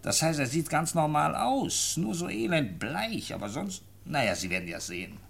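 An actor playing a bemused police commissioner in a period radio drama, reporting in a wry, conversational storytelling way. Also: male voice, fairly high pitch, very animated, normal speed, vocal fry, a bit bright, slight background noise, heavily acted.

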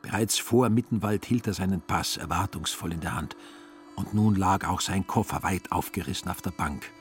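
Guy reading a novel for radio, lowish voice, dry irony, faint music under him. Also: moderate pace. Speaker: narrator. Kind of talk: literary radio play narration, acting out short quotes.

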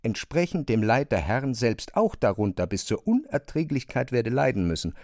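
Male narrator with very lively intonation. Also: medium pitch, moderate pace, no echo, clean studio sound. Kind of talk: scripted literary narration in a radio play.

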